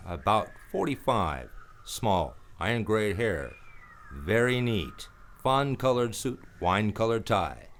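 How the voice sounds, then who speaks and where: A man's voice: fairly low pitch, sing-song, slow, fairly bright tone, slight background noise, full character voice. A man playing a cynical, laconic, world-weary private detective who is protective of a woman in a noir radio play. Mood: probing, wry. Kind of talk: radio play acting with first-person noir narration.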